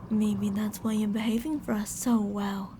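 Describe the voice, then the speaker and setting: A woman's voice: medium pitch, little pitch movement, moderate pace, noisy background, natural voice. A woman playing teasing, possessive female mad scientists in an ASMR roleplay, coaxing and domineering with sweet menace. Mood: controlling, coaxing.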